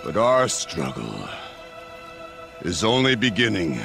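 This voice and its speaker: Grieving war chief, fierce, commanding, video game oratory, slow deliberate delivery, creaky grain, hugely dynamic pitch. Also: male voice, big pitch swings, music in the background, full character voice. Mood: solemn, resolute. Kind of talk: rousing battle speech in a video game.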